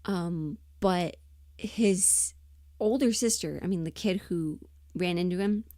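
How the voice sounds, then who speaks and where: A woman chatting casually and emphatically on a livestream, rambling in an animated, opinionated way. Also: fairly low pitch, slow, dry recording, clean studio sound. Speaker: livestream host and voice performer.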